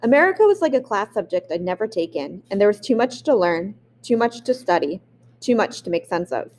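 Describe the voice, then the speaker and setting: A woman's voice: medium pitch, brisk. Woman reading a memoir aloud. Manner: expressive reading with occasional restarts. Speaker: audiobook reader.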